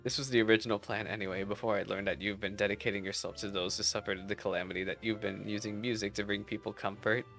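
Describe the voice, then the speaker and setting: A man's voice: on the low side, flat delivery, quick pace, vocal fry, hardly any pauses, fairly bright tone, faint music behind. A man reading aloud the game lines of an earnest, slightly haughty young female musician and rival. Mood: earnest, wistful.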